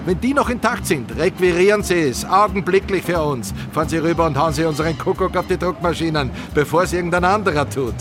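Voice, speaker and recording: A man acts a brisk, authoritative army boss in a radio drama. His voice sits fairly high and he urges his point firmly. Music plays clearly behind him. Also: lightly acted. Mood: brisk, persuasive. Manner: authoritative, urging. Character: commanding US Army newspaper boss, brisk and persuasive. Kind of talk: radio play dialogue.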